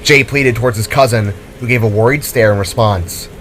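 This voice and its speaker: A man's voice: medium pitch, a little fast, fairly bright tone, slight background noise. A man reading a scripted, dramatic story as its narrator. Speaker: author and narrator.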